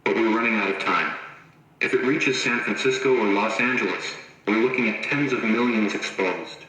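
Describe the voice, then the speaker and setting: A man's voice: lowish voice, normal speed, bright, forceful tone. A man acting as a government official giving an urgent, formal crisis briefing in a horror drama. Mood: urgent, worried. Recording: very echoey room.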